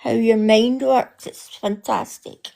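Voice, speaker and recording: A Scottish woman with a Scottish accent who has lost her voice, talking hoarsely and slowly in a casual chat. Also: fairly high pitch, steady tone, darkish tone. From Scotland. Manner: slow, laughing, apologetic. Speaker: guest caller.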